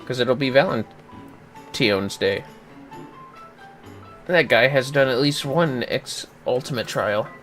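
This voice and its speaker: Streamer just chatting over a game, sweary and jokey, bright voice, music behind. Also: medium pitch, slow pace, pauses often. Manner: playful, sweary mock-threatening banter. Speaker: streamer, host. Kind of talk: casual game livestream chat with role-play reading.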